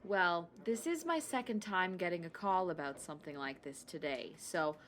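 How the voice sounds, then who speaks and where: A woman's voice: lowish voice, lively intonation, normal speed, fairly bright tone, slight background noise. A woman playing a kindly, helpful town hall clerk in a radio play, friendly, hesitant and reassuring. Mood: calming.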